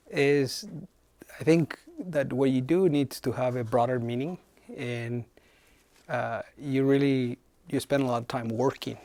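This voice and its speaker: A middle-aged man from Chile, answering interview questions as a head of human resources and pausing often to find his words. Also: medium pitch, a little mellow, dry recording. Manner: thoughtful, frequent hesitations.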